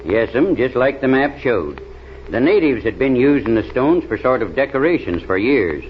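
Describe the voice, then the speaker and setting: An actor playing a plain-spoken backwoods adventurer in an old radio serial, with a drawl and folksy, ungrammatical speech. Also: male voice, medium pitch, big pitch swings, moderate pace, close to an everyday voice. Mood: recounting adventures. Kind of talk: 1930s radio serial acting. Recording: dull, noisy old radio recording.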